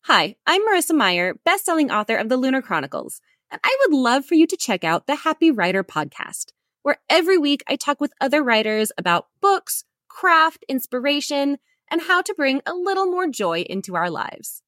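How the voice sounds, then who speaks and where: A woman reading a scripted podcast promo in a bright, friendly, inviting way. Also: high voice, very animated, normal speed, no room sound. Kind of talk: scripted ad read. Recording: dry close studio sound.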